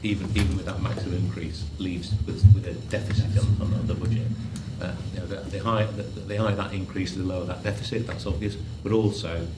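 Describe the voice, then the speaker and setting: A finance adviser lays out budget options to a committee in an analytical, plain-spoken way. His voice is deep and flat, and he talks fast. The recording is roomy, with loud background noise. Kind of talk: formal committee meeting speech. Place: council meeting room.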